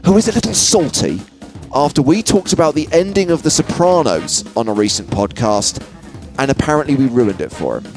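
Man, podcast host, conversational, medium pitch. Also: lively intonation, fairly quick, over music.

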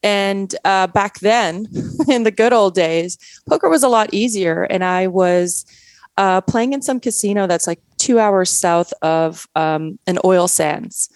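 A woman's voice: mid-range voice, unhurried, darkish tone, no echo. A woman in her forties from Canada, with a Canadian accent, talking casually as an interview guest, full of fillers and restarts. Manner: chatty, many fillers and restarts.

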